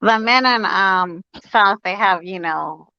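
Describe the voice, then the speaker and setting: A woman's voice: mid-range voice, slow, clean tone, no room sound, dull audio. A woman from California calling in to a live-stream chat, talking in a hesitant, polite way. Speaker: caller.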